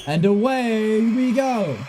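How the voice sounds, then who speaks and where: A woman's voice: fairly high pitch, lively intonation, slow pace, background noise. A young woman playing a male play-by-play sports announcer in a comic radio play, with dramatic emphasis. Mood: excited, amazed. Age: young adult. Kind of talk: parody live sports commentary in a radio play.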